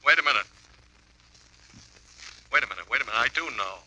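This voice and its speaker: A man's voice: mid-range voice, expressive, fast, pushed and bright, rough recording. A man playing a confused, rambling young writer in an old radio drama. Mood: dazed. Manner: dazed, rambling. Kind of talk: 1950s radio drama acting.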